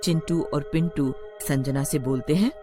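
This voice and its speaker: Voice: mid-range voice, normal speed. A narrator reading a scripted horror story in the manner of a storyteller. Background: background music.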